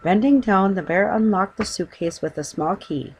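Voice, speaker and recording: A woman's voice: mid-range voice, moderate pace, darkish tone, a little hiss or hum, rough recording. A woman reading a children's story aloud as scripted audiobook narration.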